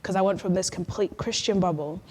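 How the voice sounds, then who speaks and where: A woman's voice: fairly low pitch, fairly quick, a little mellow, breathy edge. A young woman guest in a casual interview, telling her story in a chatty, reflective way with many fillers. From grew up in Norway, then the UK. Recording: long reverb, faint background noise.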